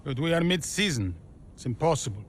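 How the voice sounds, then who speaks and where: An eager, pleading team staffer. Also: male voice, a little high, sing-song, fairly quick, a little hiss or hum.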